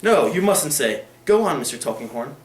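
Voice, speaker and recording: Casual: guy doing a bored posh lady, drawly and lofty, voice pushed up high, roomy echo. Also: big pitch swings, normal speed, slight background noise. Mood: curious. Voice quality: lofty, languid. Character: haughty aristocratic lady, languid and cool. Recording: echoey room.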